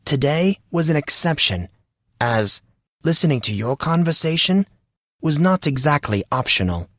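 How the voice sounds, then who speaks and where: A man voicing a genteel, wry butler who speaks formally and with deadpan calm. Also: on the high side, normal speed, no room sound, studio quality, pitched above the performer's own voice. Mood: amused, polite. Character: genteel male butler, polite, wry and unflappable.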